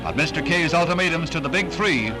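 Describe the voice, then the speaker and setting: A man's voice: a little high, expressive, brisk, over music. An American man narrating a scripted 1960s newsreel in a dramatic, authoritative announcer's delivery, on a vintage soundtrack.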